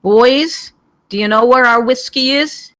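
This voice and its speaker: A woman playing a blunt, grumpy eighty-year-old whiskey drinker in an animated comedy table read. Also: a little high, a little slow, dry recording. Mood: crotchety.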